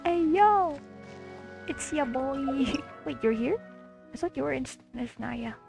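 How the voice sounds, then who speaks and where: Casual female gamer, slangy and exclamatory, creaky delivery, game music underneath. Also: high-pitched, animated, takes it slowly. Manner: slangy, swearing, exclamatory reactions. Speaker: gamer reacting to a story quest playthrough. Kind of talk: casual reaction commentary over a video game. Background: video game music.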